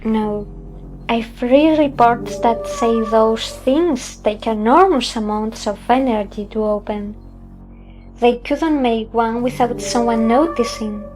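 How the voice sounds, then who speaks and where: A woman's voice: a little high, slow, music in the background, rough recording. A woman playing a blunt, analytical fighter pilot in a sci-fi audio drama. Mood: thoughtful.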